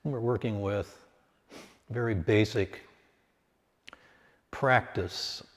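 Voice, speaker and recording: A man leading a guided meditation, speaking calmly and in measured short phrases with long pauses between them. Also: lowish voice.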